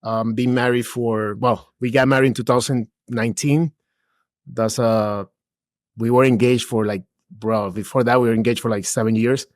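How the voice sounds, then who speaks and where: A man's voice: mid-range voice, normal speed, no room sound. Chill guy in his 40s from peru, calls everyone dude and bro, dry close sound. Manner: relaxed and chatty, drops "dude" and "bro", many restarts. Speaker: guest, real estate investor. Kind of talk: casual interview talk.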